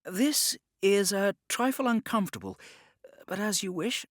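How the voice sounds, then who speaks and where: Man playing a smug, higher-voiced gentleman secret agent. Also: lively intonation, no echo, studio quality. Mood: composed, assured. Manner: polished, formal, unhurried. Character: smug, unruffled gentleman Templar agent, smooth persuader.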